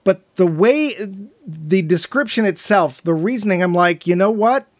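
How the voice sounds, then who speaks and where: A man co-hosting a podcast, reviewing a film in casual chat, animated and jokey, acting out mock quotes. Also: sits high, moderate pace, no echo. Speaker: podcast co-host.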